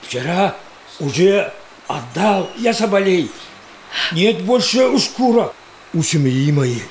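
An actor playing a pleading old Yakut villager in an audio drama, slow and plaintive with a creaky voice. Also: male voice, big pitch swings, echoey room, a little hiss or hum, full character voice. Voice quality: creaky, high.

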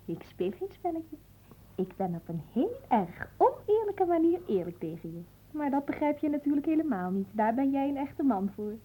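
Breathy, high-voiced coquettish noblewoman. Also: big pitch swings, normal speed, dark tone, low-quality recording. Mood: teasing, capricious. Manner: breathy, teasing, dramatic. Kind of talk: theatrical radio play acting.

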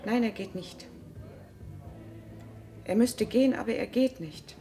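Bitter, scornful wife. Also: female voice, a little high, a little slow, mellow, faint music behind. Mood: bitter, weary. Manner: accusing, cutting, emphatic repetition. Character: bitter, scornful wife of a company man, mother. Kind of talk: German radio drama acting.

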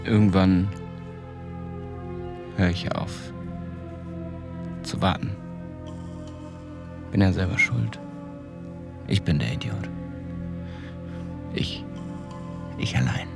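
A low, creaky-voiced man as a disillusioned small-town mechanic who has done time. He talks colloquially and haltingly, as if mulling it over, in a radio play with music underneath. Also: expressive, heavily acted. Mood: bitter, resigned. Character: disillusioned, slangy small-town car mechanic and ex-convict narrator. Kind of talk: first-person radio drama monologue.

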